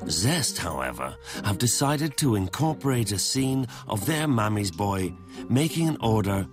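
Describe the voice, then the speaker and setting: A man narrating a reality show in a measured, matter-of-fact voice-over, with music underneath. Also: on the low side, big pitch swings.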